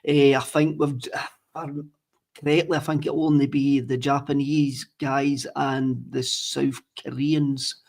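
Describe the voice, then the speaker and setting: A man's voice: mid-range voice, little pitch movement, a little slow, gravelly, a little mellow. A man hosting a podcast in casual chat, with dry joking asides. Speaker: podcast host.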